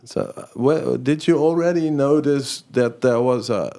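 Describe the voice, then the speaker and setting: A man hosts a podcast interview in English. He speaks slowly at a middle pitch. He stumbles and restarts his questions often. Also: dark tone. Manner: hesitant, many restarts and laughs. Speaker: podcast host. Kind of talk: interview hosting.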